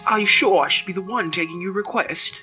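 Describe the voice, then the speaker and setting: A man's voice: high voice, fairly quick, sharp tone, soft background music, rough recording. A man playing a polite, worried visitor with stilted formal diction in a ghost story audio drama. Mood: concerned, hesitant. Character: concerned, polite man visiting a dying old woman.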